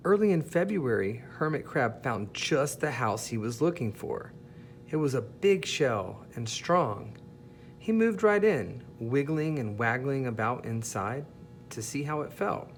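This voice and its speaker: A man reading a children's picture book aloud as an expressive, deliberate storyteller. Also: mid-range voice, a little hiss or hum. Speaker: storybook reader.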